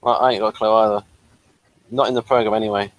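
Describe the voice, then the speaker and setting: Bloke hosting a casual review chat, muddles along, thinks out loud while presenting. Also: male voice, fairly low pitch, fairly even intonation, fast talker, no room sound, rough recording. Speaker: host presenting an episode review.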